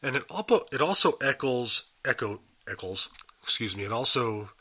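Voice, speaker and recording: Man, Bible podcast host, raspy and husky from a cold, bright forward tone, earnest questioning delivery. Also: mid-range voice, animated, moderate pace. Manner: earnest, asks the listener questions. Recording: live and unedited.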